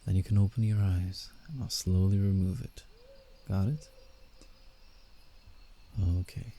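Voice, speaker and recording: A very dark, mellow werewolf, acted by a man, speaks low and slowly in a roleplay ASMR piece. The creature is gentle and protective, soothing a fragile human with faint condescension. Night insects chirp behind the voice. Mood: tender, calm. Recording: steady night insect ambience, background noise. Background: crickets and night forest ambience.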